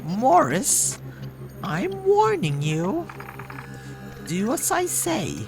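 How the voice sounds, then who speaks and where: A bossy, menacing woman in charge of a shop, acted by a woman game reader. The voice is pushed high and swings sharply with urgent command. Music plays behind it. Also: wildly swooping pitch, extremely slow, full character voice, rough recording. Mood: threatening. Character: bossy, frantic, menacing female shop boss.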